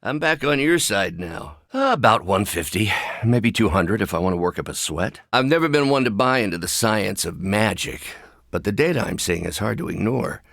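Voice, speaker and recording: An actor voicing a brilliant, anxious, wry scientist with buried anger in a video game, speaking in precise, articulate, dryly sardonic phrases. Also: male voice, fairly low pitch, animated, moderate pace, vocal fry, a bit bright, dry recording, studio quality. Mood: friendly, wry.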